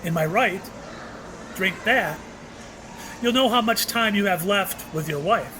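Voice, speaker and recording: Man playing a high-voiced, cryptic shopkeeper. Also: measured pace. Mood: cryptic, calm. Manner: courteous, hesitant, cryptic. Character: mysterious, apologetic male shopkeeper offering tastes of time. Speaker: actor in an audio play. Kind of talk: audio drama acting. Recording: clearly noisy background.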